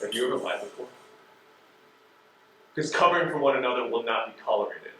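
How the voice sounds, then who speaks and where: An actor playing a pressuring, sarcastic postal inspector on stage, questioning a suspect in a deliberate, insinuating manner. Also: male voice, mid-range voice, fairly bright tone, a little hiss or hum, natural voice. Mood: coldly threatening. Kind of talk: stage play acting. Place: theater stage. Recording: very echoey stage, distant microphone.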